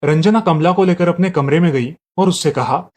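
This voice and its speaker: A man's voice: fairly high pitch, moderate pace, darkish tone. A man reading scripted narration for a moral family story.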